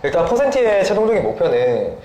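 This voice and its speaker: A young man gives casual interview answers in a fairly high but soft-edged voice with lively intonation. The room echoes and there is some background noise. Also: fast. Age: young adult. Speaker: interviewed guest, software developer and startup founder.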